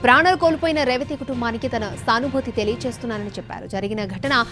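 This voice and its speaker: A woman's voice: on the high side, rapid-fire, music in the background. A woman narrating a news report in a scripted voice-over style.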